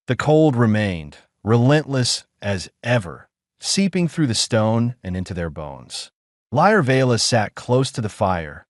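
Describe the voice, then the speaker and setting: A man narrating a science fiction audiobook in an expressive storytelling manner. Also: on the low side, no echo, studio quality.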